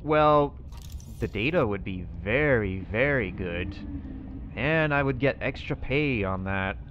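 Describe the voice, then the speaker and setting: A man's voice: mid-range voice, expressive, slow, muffled recording, noisy background. A man roleplaying a character over gameplay, thinking aloud to himself as he goes. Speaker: roleplaying gamer. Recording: echoey reverberant room with faint music.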